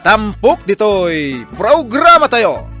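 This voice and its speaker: A man's voice: sits high, sing-song, slow, bright, forceful tone, soft background music. A man announcing a radio drama programme in a declamatory, scripted style.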